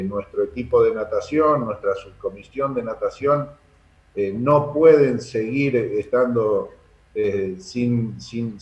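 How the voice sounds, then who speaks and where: An Argentine man answering interview questions as a club official, speaking hesitantly and searching for his words. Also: mid-range voice. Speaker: sports club secretary being interviewed. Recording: dull, echoey room sound.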